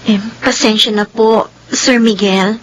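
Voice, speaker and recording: An actress playing a polite, evasive young daughter in a Filipino radio drama. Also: female voice, a little high, fairly even intonation, unhurried, rough recording.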